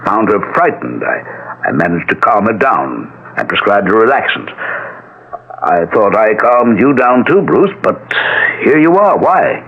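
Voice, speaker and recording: An actor playing a gentle, soothing family doctor in a 1970s radio drama. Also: male voice, fairly low pitch, very animated, measured pace, full character voice. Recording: dull 1970s radio broadcast.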